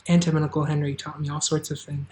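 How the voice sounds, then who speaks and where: A woman's voice: deep voice, flat delivery, fast, crowd noise, close to an everyday voice. A woman playing a kind, practical young farm girl in a live fantasy table read, earnest and reassuring. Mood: hopeful.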